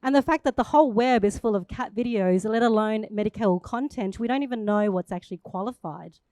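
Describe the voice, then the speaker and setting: A woman in her forties, a native Thai speaker from Thailand, giving an upbeat, chatty startup pitch on stage and laughing at herself now and then. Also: fairly quick, dark tone, no room sound.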